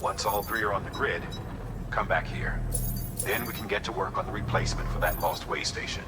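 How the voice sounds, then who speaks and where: An actor voicing a grave, commanding operations officer in a video game, giving measured, serious instructions. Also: male voice, low voice, loud music behind the voice, lightly acted. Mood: businesslike briefing. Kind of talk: dramatic video game mission briefing.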